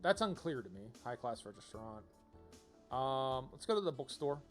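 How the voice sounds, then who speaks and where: A man's voice: medium pitch, big pitch swings, moderate pace, soft background music. A man giving casual livestream game commentary, rambling as he thinks aloud. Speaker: game streamer.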